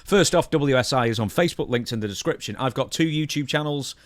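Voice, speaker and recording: A man's voice: mid-range voice, fast talker, no echo. A man co-hosting a podcast in casual chat, with dry, teasing banter as he runs through the show. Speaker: podcast co-host.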